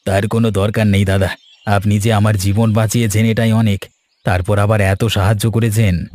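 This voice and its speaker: A man's voice: fairly low pitch, little pitch movement, brisk, a little mellow, lightly acted. A man voicing a grateful, generous travelling merchant in a cartoon story. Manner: polite, earnest. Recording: music bed behind.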